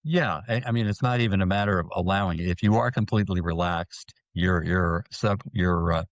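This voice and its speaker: A man, a hypnotherapist guest, explaining in a slow way with many restarts and fillers. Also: sits low, no room sound, lo-fi sound. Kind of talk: explanatory interview talk.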